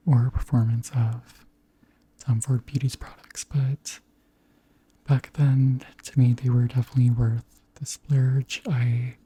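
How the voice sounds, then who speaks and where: Hushed, breathy ASMR whisperer around thirty. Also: medium pitch. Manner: gentle, unhurried, conversational. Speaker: ASMR beauty creator. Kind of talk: soft-spoken ASMR chat and product show-and-tell.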